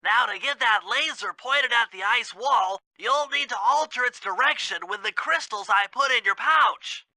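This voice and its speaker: A man's voice: extremely high pitch, normal speed, few pauses, pushed and bright, dry recording, exaggerated voice. A man voicing a fussy, brainy gadget expert in a video game, speaking in a precise, formal, instructive way. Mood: earnest, instructive. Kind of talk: video game mission dialogue.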